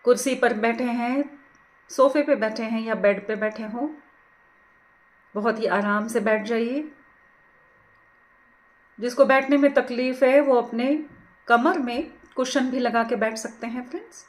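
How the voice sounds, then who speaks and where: Casting: woman meditation teacher, slightly high voice, very slow delivery, soothing and gently instructive. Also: rough recording.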